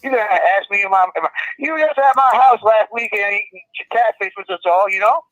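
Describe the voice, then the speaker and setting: A man's voice: sits high, fairly quick, a bit bright, dry recording. A man playing a cussing, hot-headed trucker on a muffled phone line. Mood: irritated. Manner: profane, blustering, threatening, drawling. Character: foul-mouthed hot-tempered male trucker, "Super Trucker". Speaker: phone-in guest.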